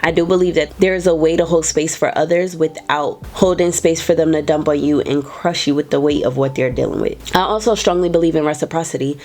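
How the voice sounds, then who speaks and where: A woman in her twenties or thirties hosts her own talk show and speaks straight to her audience about personal matters. Her voice sits low for a woman and sounds a bit nasal and stuffy from a cold. She talks in an earnest, emphatic way, often repeating a phrase to drive a point home. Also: steady tone, fairly quick, few pauses, a little mellow. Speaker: podcast host. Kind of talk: casual reflective talk to viewers.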